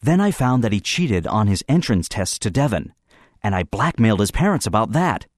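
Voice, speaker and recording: A tense teenage boy tries to sound easy and jokey, his voice pushed up and strained, as a male narrator puts him on. The bluster is loud and offhand. Close, dry audiobook read. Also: medium pitch, wildly swooping pitch, takes it slowly, no echo, pitched above the performer's own voice. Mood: nervous joking. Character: tense teenage boy forcing jokes under pressure.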